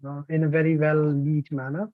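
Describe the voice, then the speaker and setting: A man's voice: fairly high pitch, little pitch movement, a little fast, dry recording, narrow, tinny audio. A man presenting a course over a video call, talkative, with many fillers and restarts. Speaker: course counselor presenting online. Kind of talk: online sales presentation.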